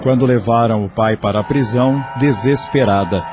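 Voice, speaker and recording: A man with a Brazilian Portuguese accent narrating an audio drama in a measured, expressive storyteller's manner. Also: mid-range voice, over music.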